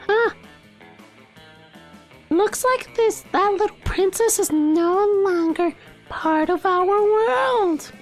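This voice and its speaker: A young mermaid princess with an extremely high, girlish voice. She is posh, prim and sweetly ruthless, drawing her words out slowly and grandly like video game royalty. Soft music plays underneath. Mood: coldly smug. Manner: prim, grandiose, drawn-out delivery. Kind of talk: video game character voicing.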